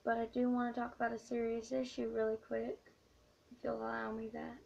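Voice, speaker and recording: A teenage girl vlogging late at night, hoarse and quiet, speaking in a hushed, halting way with many fillers. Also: a little high, completely flat delivery, dull audio. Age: teens. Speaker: YouTuber. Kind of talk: late-night confessional vlog.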